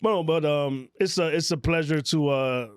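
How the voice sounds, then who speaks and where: A man's voice: fairly high pitch, moderate pace, smooth, dry recording, clean studio sound. A man from Puerto Rico hosting a casual podcast interview, loose and profane, joking and stumbling over his words. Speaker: podcast host.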